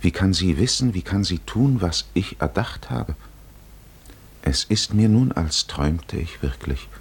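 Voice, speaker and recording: A male narrator voices a man in love, lost in wondering thought, as in a 1950s radio play. The voice is low, hushed and very slow, halting with introspection. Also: expressive, breathy edge, heavily acted. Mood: stunned wonder. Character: man in love, inwardly wondering, pensive and shaken. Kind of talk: 1950s radio drama interior monologue.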